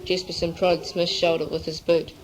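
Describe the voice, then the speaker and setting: A teenager reading scripted third-person literary narration in a measured way on an amateur cassette recording. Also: medium pitch, flat delivery. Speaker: narrator in a school drama. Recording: noisy amateur 1980s cassette tape.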